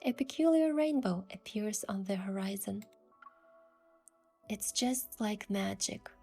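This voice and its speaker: A young woman gently narrating a romantic story in the first person as its heroine, in a soft, hushed voice. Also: mid-range voice, lively intonation, takes it slowly, faint music behind.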